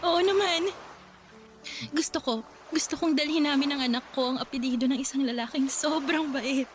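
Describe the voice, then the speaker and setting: A woman's voice: sits high, normal speed, slight background noise, close to an everyday voice. A Filipino woman acting an emotional, devoted young bride-to-be and single mother in a radio drama. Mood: loving, grateful.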